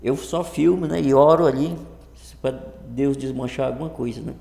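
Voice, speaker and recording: In a very echoey, reverberant room, a man in his early fifties speaks with a northern Brazilian accent. His voice is creaky and mellow. He talks casually to a webcam in a halting way, circling back over his words. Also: medium pitch. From Brazil, Rondônia. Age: early 50s. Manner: halting, often repeats and restarts words. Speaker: vlogger introducing his channel. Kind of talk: casual talk to camera. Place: improvised untreated home room. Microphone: computer webcam setup.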